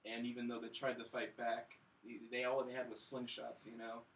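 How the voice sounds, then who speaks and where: A man's voice: mid-range voice, monotone, normal speed, a bit bright. Wry man, slightly distant and noisy mic. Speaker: podcast panelist reviewing films. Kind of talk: casual chat.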